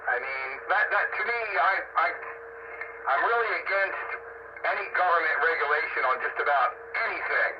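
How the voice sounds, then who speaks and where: A man's voice: fairly high pitch, expressive, normal speed. An American man in casual, opinionated discussion, speaking bluntly and emphatically. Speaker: radio discussion participant. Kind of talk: casual opinionated political chat. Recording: dull, noisy radio-style audio. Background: strong background noise.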